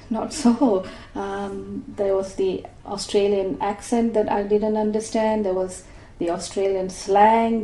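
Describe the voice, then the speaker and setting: Older woman from Malaysia, mellow voice. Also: medium pitch, echoey room, slight background noise, low-quality recording. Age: 60s. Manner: thoughtful, with many fillers and restarts. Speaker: interviewee. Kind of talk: oral history interview.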